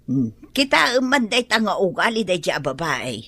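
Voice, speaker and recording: A woman's voice: lowish voice, very animated, measured pace, a bit bright, no room sound, heavily acted. A woman playing a coaxing Ilocano neighbor. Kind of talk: radio drama acting.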